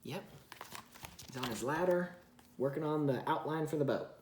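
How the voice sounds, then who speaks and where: Low-voiced woman reading a children's story. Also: normal speed, echoey room, a little hiss or hum. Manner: expressive, asking the child simple questions. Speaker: storybook reader to a young child. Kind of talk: children's Bible story read aloud with chat.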